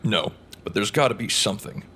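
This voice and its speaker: A man playing an earnest, chatty radio news host in an audio drama, with a slightly husky voice. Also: on the low side, expressive, brisk, bright, forceful tone, full character voice. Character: upbeat, curious male radio news host, space enthusiast.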